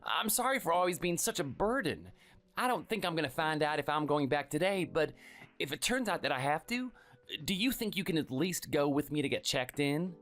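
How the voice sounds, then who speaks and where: A performer voicing a meek, sickly man in his thirties who speaks softly and hesitantly, always apologizing. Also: fairly high pitch, expressive, a little fast. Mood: pleading. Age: 30s. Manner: soft, hesitant, pleading. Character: meek sickly young husband, timid and apologetic.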